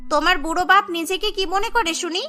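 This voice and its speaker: A woman's voice: very high voice, a little fast, pushed and bright, faint music behind. A woman voicing a haughty, stingy, spiteful young wife of a landlord house in a cartoon. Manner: indignant, sneering.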